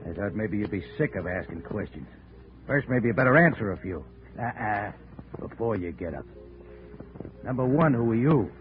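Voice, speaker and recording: A man playing a tough, wary carnival manager in an old radio drama, talking in gruff tough-guy slang. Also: on the low side, expressive, normal speed, pauses often, close to an everyday voice. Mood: menacing. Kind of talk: 1950s radio drama acting. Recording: tinny, noisy old radio recording.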